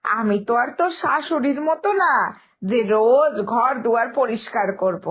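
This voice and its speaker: A woman's voice: a little high, animated, normal speed, husky. A woman voicing a lazy, slovenly aunt in a cartoon family story, breezy and chatty, scoffing at fuss. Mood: dismissive. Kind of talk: animated Bengali family story dialogue.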